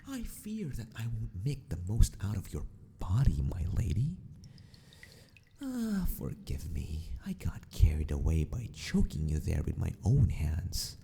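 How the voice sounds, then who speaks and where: A man playing a sadistic, possessive mad scientist in an ASMR roleplay, gloating and teasing with mock courtesy in a husky near-whisper. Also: a bass voice, warm tone, heavily acted.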